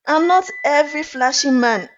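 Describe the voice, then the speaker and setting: A woman telling a folktale in an expressive, deliberate, dramatic narration. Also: sits high. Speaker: storyteller. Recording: dull lo-fi audio under music. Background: clear flute music.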